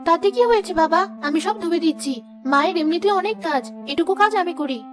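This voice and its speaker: A meek, pleading young woman's voice, performed by a woman, very high and quick. She speaks softly and gives way. Music runs behind. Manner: soft, pleading, submissive. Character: meek hardworking young stepdaughter. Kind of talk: cartoon dubbing.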